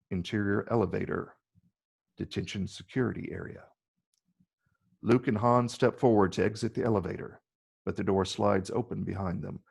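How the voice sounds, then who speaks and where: A man with a gravelly, husky voice reading scripted screenplay narration. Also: fairly low pitch, darkish tone. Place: virtual call from separate location.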